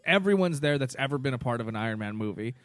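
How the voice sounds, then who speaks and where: A man's voice: mid-range voice, lively intonation, fast, no room sound. A man co-hosting a podcast, chatting casually about movies and rambling through repeated, restarted phrases. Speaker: podcast co-host.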